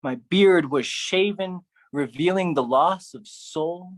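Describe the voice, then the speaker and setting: A man performing a theatrical spoken-word poetry monologue, phrased deliberately and dramatically, with shifting intensity. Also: sits high, dry recording.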